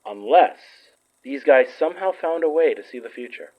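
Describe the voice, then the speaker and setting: A slightly dull recording of an actor playing a sardonic, analytical man in a supernatural radio play. His voice sits at a middle pitch, and his delivery is dry and skeptical. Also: lively intonation, normal speed, natural voice. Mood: reasoning. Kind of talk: audio drama acting.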